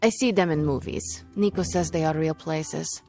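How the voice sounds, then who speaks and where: A woman playing a gloomy young sorceress in a video game with dry, deadpan wit. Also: low voice, normal speed. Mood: curious. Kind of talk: video game cutscene acting. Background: music.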